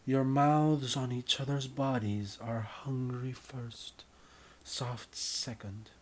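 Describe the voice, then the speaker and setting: Slow, deliberate male story narrator. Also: medium pitch, level tone. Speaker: writer and narrator. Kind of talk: scripted second-person story narration.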